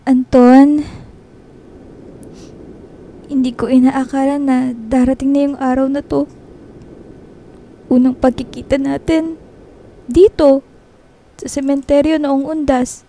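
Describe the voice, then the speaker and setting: An actress in a radio drama playing a grief-stricken young woman, pleading and halting through tears. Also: high-pitched, flat delivery, warm tone. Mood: grieving, aching. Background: music.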